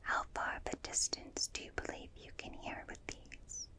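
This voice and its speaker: A woman voicing a gentle, curious alien examiner in an ASMR roleplay, speaking in formal, clinical phrases in a breathy whisper, close to a binaural microphone. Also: lightly acted. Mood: curious, soothing. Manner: slow, clinical, fascinated, formal stilted phrasing.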